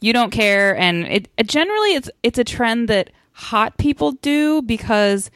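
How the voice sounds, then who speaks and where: A woman's voice: fairly high pitch, big pitch swings, moderate pace, fairly bright tone, no echo, studio quality. A woman co-hosting a casual podcast. She talks playfully, pokes fun at herself, and peppers her speech with "like". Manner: playful, self-deprecating, chatty with many "like"s. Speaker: podcast co-host.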